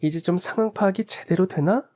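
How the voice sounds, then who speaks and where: A man's voice: high voice, brisk, darkish tone, voice pushed up, lightly acted. A man voicing a scornful, scheming birth mother who taunts and mocks in a storybook reading. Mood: taunting. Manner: mocking, smug, taunting.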